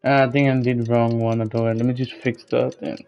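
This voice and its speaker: A man's voice: mid-range voice, level tone, a little fast, vocal fry, a little mellow, clear, resonant tone. A man making offhand, mumbled remarks while playing a game. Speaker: gamer. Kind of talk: casual gameplay remarks. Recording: muffled, game music behind.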